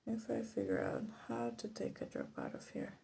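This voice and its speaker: A soft-spoken woman giving casual, rambling ASMR commentary, hesitant and drifting into whispers. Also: fairly high pitch, very animated, normal speed, gravelly, a little hiss or hum. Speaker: ASMR creator making perfume. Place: small room at home.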